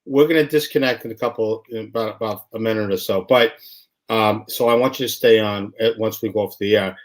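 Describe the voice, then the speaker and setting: Rambling, easygoing male host. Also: lowish voice. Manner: loose, rambling, acts out dialogue in quick voices. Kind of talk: casual live show chat.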